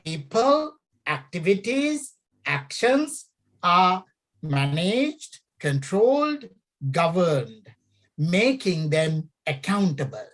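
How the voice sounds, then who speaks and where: A woman's voice: fairly low pitch, very animated, slow. A middle-aged woman from Sri Lanka, an academic talking through her research in a hesitant way, with many fillers and repeated key phrases. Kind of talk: conversational interview.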